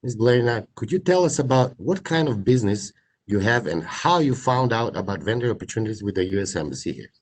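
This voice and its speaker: A man's voice: fairly low pitch, animated, fast talker. A man moderating a panel politely and formally, speaking through a face mask in an office. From North Macedonia. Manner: polite and formal, reads introductions. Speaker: procurement agent, event moderator.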